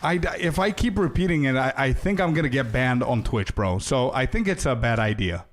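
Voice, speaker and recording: A man's voice: medium pitch, expressive, brisk. Chatty young man streaming. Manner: loose, chatty, talks to chat as "bro", trails into asides. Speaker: game streamer. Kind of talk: casual live-stream chat with viewers.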